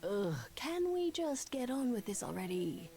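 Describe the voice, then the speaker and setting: A woman playing a sardonic, teasing young sidekick in a video game, with wry, breathy asides. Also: fairly high pitch, big pitch swings, a little slow, mellow, slight background noise.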